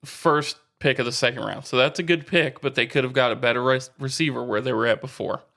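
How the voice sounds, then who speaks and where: An American man in a casual sports podcast conversation, with a voice of middling pitch. He talks loosely and banters back and forth as a co-host. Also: fairly even intonation, quick pace, vocal fry, a bit bright.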